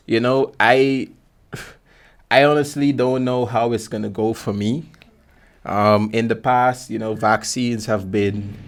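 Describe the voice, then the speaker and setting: A man from Guyana, hosting a podcast in a casual, laid-back conversational style full of informal slang. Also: medium pitch. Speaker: podcast host. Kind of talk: casual conversational interview chat.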